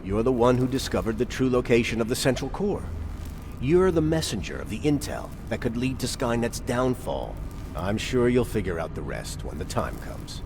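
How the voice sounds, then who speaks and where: An actor playing a grave, resolute military commander in a video game, speaking in a deliberate, earnest, authoritative way. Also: male voice, medium pitch, big pitch swings, darkish tone, a little hiss or hum. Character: grave, resolute male resistance commander briefing soldiers. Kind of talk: dramatic cutscene dialogue.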